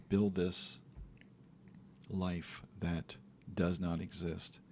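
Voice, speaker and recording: A man co-hosting a podcast, talking in a relaxed, reflective way about faith and daily life, sometimes acting out little quotes. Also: low-pitched, extremely slow, a little hiss or hum. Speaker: podcast co-host. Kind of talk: casual faith talk.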